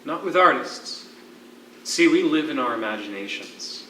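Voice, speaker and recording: An actor playing a grieving, defeated imprisoned poet in a stage drama, speaking in deliberate, drawn-out phrases with dramatic pauses. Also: male voice, a little high, animated, bright, forceful tone. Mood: weary, grim. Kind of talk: theatrical stage acting, dramatic monologue. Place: theatre stage, miked from a distance. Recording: echoey, noisy stage recording from far off.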